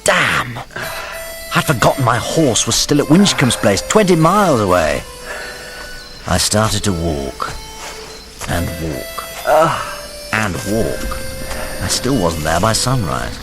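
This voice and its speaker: Brief: a rueful young English adventurer narrating a radio drama, lowish lively voice, music underneath. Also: male voice, slow pace, pauses often, exaggerated voice. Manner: dry, rueful storytelling. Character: wry young English adventurer recounting his travels. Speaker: lead actor and narrator. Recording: music behind the voice.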